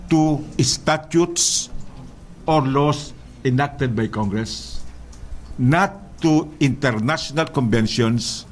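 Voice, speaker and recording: A man with a Filipino accent making a formal argument as a legislator, in deliberate, halting phrases. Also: medium pitch, slight background noise, poor audio.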